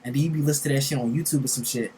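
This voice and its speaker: A man's voice: medium pitch, steady tone, racing, darkish tone. Casual young man, faint noise behind. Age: young adult. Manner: informal, profane, slangy. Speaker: reaction video host. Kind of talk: casual, slangy reaction commentary.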